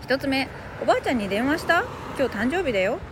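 A woman's voice: high voice, sing-song, quick pace, background noise. A woman who is a native Japanese speaker, hosting a language lesson in a conversational, explanatory way that speaks straight to listeners. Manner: explanatory, addresses listeners directly. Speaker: host of an English phrase lesson podcast.

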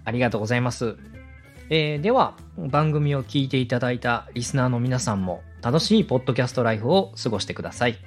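A man's voice: medium pitch, expressive, fast. A man hosting a podcast as a coach, speaking in a polite, encouraging manner. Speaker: podcast host and radio director. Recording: music playing behind the voice. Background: background music.

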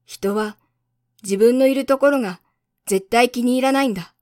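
A woman's voice: on the high side, moderate pace, a little mellow, no room sound, natural voice. A world-weary railway worker from a storybook, acted by a woman. The delivery is blunt and plain-spoken, explaining things matter-of-factly in a dry, close recording. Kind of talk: storybook reading.

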